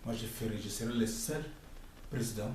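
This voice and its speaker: A middle-aged man from the Congo with a Congolese French accent, talking in an emphatic, halting, scolding way for his video blog. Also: fairly low pitch, a little mellow, a little hiss or hum. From Democratic Republic of the Congo. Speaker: vlogger. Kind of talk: casual spoken commentary. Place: outdoors near a construction site. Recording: echoey and distant with faint ambience.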